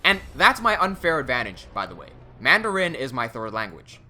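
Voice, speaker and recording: Young man, native English and Cantonese speaker, chatty self-mocking vlogger, animated delivery. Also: mid-range voice, moderate pace, soft background music. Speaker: language-learning YouTuber. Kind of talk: casual vlog narration.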